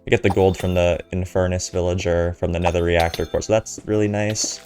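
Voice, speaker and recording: A man's voice: low-pitched, little pitch movement, fairly quick, darkish tone, soft background music. A young man with a stuffy nose from a cold, giving casual, chatty voiceover commentary over gameplay and filling gaps with ums. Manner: casual, filler-heavy, chatty. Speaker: gaming commentator.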